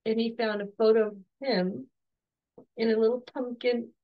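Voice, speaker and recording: A woman in her forties, slightly hoarse, gently interviewing her son at home on a video call with soft, encouraging questions and restarts. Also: a little high, fairly even intonation, moderate pace, dull audio. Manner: soft, encouraging, with frequent restarts and light laughs. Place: home room with bookshelves.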